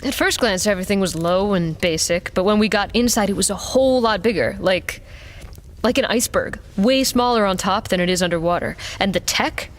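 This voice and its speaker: A woman's voice: medium pitch, fairly quick, lightly acted. A woman playing a weary, sardonic mercenary pilot in an audio drama, talking in a blunt, casual way. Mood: intrigued.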